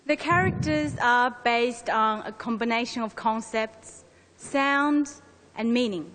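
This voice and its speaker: A woman's voice: a little high, slow, a bit bright. A woman whose first language is Chinese, explaining carefully in a scripted conference presentation with a co-presenter. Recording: long hall reverb.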